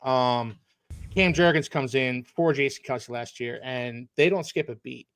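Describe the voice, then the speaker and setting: A man's voice: medium pitch, a little slow, fairly bright tone, clear, resonant tone, no room sound. A man from Philadelphia hosting a casual podcast chat, enthusiastic and fond of grand, poetic phrasing.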